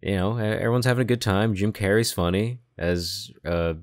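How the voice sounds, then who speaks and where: A man's voice: on the low side, moderate pace, dry recording, clean studio sound. A man co-hosting a podcast, giving his opinions in a casual, easygoing and informal way. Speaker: podcast co-host.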